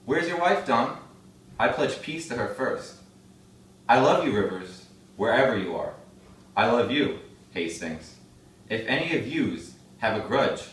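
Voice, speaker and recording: A man's voice: medium pitch, a bit bright. A man playing a sly, persuasive schemer in a crime family, in an amateur stage play. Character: scheming smooth-talking brother in a crime family. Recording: echoey room, distant mic.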